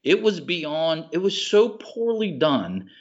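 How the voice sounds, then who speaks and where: A man's voice: fairly high pitch, big pitch swings, takes it slowly, muffled recording. An American man co-hosting a podcast, chatting casually in a blunt, emphatic, slangy and opinionated way. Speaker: podcast co-host. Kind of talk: casual chat about movies and TV.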